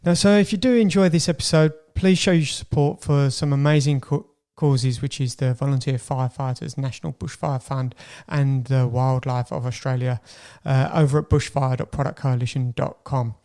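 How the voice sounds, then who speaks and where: A man's voice: medium pitch, steady tone, moderate pace, few pauses, a little mellow. A man hosting a podcast, introducing the episode and talking to his guest in a conversational way. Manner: conversational, with fillers and restarts. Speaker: podcast host.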